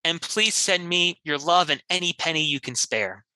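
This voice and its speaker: Man acting rambling small-town pitchman, very bright voice. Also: moderate pace, no room sound. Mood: earnest pleading. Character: earnest washed-up small-town car fixer begging for money. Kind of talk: mock commercial appeal.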